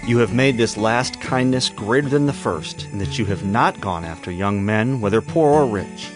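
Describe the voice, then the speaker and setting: An actor playing a kindly, dignified landowner of ancient times in a dramatized scripture drama, solemn and gracious. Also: male voice, on the low side, lively intonation, normal speed, lightly acted. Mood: tender, earnest. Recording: music bed under the voice.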